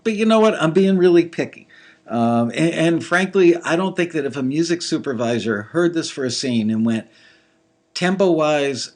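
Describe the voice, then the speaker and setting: A man with a slightly gravelly voice giving casual live commentary on listeners' songs, thinking aloud with lots of fillers. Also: mid-range voice. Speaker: music industry reviewer critiquing listener songs.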